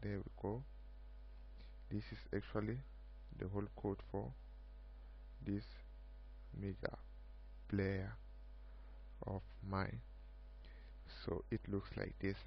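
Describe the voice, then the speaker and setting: A man giving an unscripted screen-recorded software demo of his own project, speaking haltingly in short broken phrases. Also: lowish voice, level tone, gravelly. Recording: muffled lo-fi mic with background hum.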